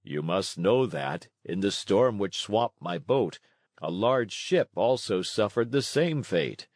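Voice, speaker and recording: A man voicing a weary, gentle old father with a measured, tender delivery in a storybook read-along. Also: lowish voice, lively intonation, no room sound, studio quality. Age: older.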